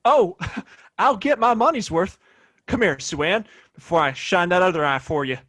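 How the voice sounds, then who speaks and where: A blustering Wild West gunslinger with a badge and a short temper. His American voice sits high and swings widely as he throws folksy insults around in a radio-play western. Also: sing-song, moderate pace, a bit bright, exaggerated voice. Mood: cocky, belligerent. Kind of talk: audio play acting, western.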